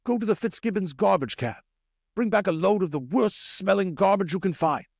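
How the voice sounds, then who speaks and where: A commanding, weary rat leader from a storybook, played by a man, pitched well up and swinging widely. He speaks with deliberate urgency, close and dry. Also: animated, creaky, a little mellow, no room sound, full character voice. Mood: urgent, commanding.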